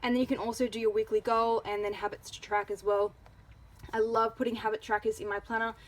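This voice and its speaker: A woman's voice: fairly high pitch, little pitch movement, fast talker, poor audio. A woman with an Australian accent giving a casual product review as a vlogger.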